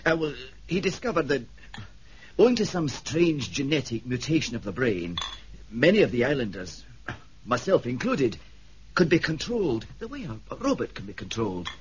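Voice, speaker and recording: An actor playing a grieving, principled and defiant politician with a husky voice in a 1970s radio drama. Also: male voice, mid-range voice, big pitch swings, moderate pace, a little hiss or hum, close to an everyday voice. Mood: grave. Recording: dull 1970s radio recording.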